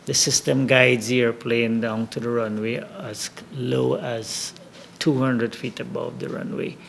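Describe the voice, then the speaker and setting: Gravelly man explaining, noise behind. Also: mid-range voice, flat delivery, unhurried. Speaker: aviation official explaining operations. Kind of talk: explanatory interview answer. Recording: noisy location interview.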